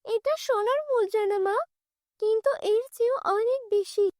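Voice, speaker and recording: A woman's voice: extremely high pitch, fairly even intonation, normal speed, dark tone, no echo, studio quality, pitched above the performer's own voice. A woman voicing an emotional, stubborn young village girl in a children's cartoon, with a plaintive childlike delivery. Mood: excited. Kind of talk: children's fairy-tale cartoon voice acting.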